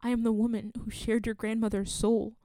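An actress playing a solemn, resolute woman in a radio play, speaking in a measured, earnest way. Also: fairly high pitch, level tone, warm tone, dry recording, clean studio sound, lightly acted. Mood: grave, insistent. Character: solemn, resolute woman confessing a long-hidden identity and grief.

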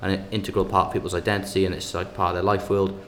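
A man's voice: sits low, level tone, fast, slight background noise. A man in casual academic discussion, talking with many restarts and emphatic bursts.

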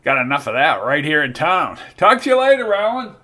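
A man's voice: fairly high pitch, very animated, fairly quick, pushed and bright. A sly, gossipy small-town official, put on by a male narrator, with a raised, sing-song lilt. He leans in as if sharing secrets, folksy and knowing.

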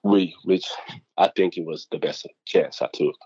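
Low-voiced young man from Nigeria. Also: a little slow, breathy edge, dry recording, muffled recording. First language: not English. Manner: animated, chatty, many fillers, acts out quotes. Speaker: student athlete guest. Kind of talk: casual interview storytelling.

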